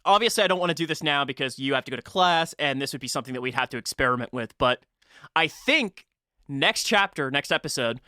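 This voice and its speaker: A podcast host with a bright, forward voice at a middling pitch, recorded close and dry. The talk is casual and unscripted, with thoughts worked out aloud as they come. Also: lively intonation, fast, no echo. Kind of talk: casual chat.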